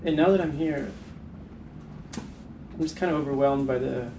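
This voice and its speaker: A man giving halting personal remarks as a participant in a group discussion. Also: medium pitch. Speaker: meeting participant. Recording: distant mic, echoey noisy room.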